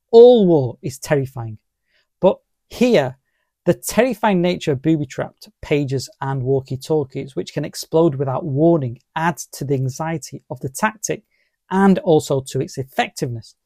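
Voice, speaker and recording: A middle-aged man presenting a scripted legal explainer in a formal, deliberate manner. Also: on the high side, animated, mellow, dry recording, clean studio sound. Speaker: presenter of legal education video.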